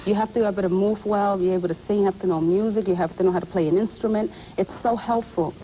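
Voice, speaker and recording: A woman's voice: medium pitch, steady tone, fast, dark tone, a little hiss or hum, rough recording. A woman from Puerto Rico, an actress and singer, talking earnestly and emphatically as a guest in an interview. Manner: earnest, emphatic, repeats points. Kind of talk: casual interview talk.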